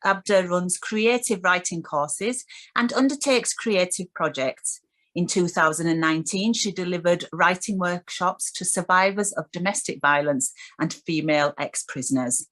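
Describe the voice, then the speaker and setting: A woman's voice: fairly low pitch, a bit bright. A woman hosting a radio show, introducing guests and putting questions to them. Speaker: radio host.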